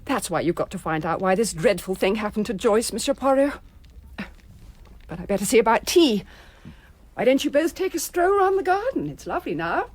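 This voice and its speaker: Genteel English mother, expressive voice. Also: a little high, fairly quick. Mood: anxious. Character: genteel English mother, gracious yet anxious. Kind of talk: broadcast radio drama acting.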